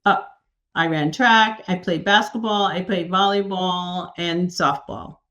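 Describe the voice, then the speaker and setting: A woman from New York City, an executive coach, telling stories as a podcast guest in an animated, enthusiastic way. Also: fairly low pitch, a little slow, muffled recording. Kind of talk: casual interview.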